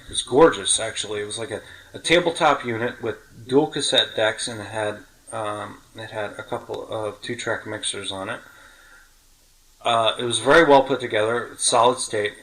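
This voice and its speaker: Low-voiced middle-aged New man reminiscing. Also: moderate pace, a bit bright, low-quality recording. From New York City. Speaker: podcast host. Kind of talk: casual reminiscing conversation.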